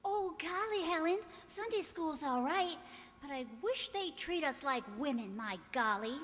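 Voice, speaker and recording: A woman playing an excitable, exclamatory young girl in an old-time radio drama. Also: high-pitched, a little slow. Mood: indignant. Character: excitable, plain-spoken young girl, Helen's friend. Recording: reverberant hall.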